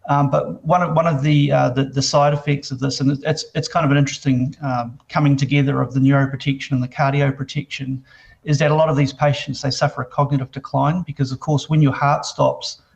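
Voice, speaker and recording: Brief: New Zealand exec, monotone, gravelly, hesitant, muffled call audio. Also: male voice, medium pitch. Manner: halting, many fillers and restarts. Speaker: biotech company CEO. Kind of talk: interview answers.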